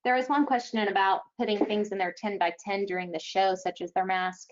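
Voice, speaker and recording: A woman with a mid-pitched voice answers questions as a contest official. She explains things informally, with practical examples, over slightly muffled webinar audio. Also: fairly even intonation, a little fast.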